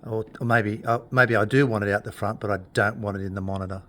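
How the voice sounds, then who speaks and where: A man talking through equipment in an informal tutorial, explaining things in a plain-spoken, unhurried way. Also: fairly low pitch, fairly even intonation, fairly bright tone. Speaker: instructor demonstrating PA equipment.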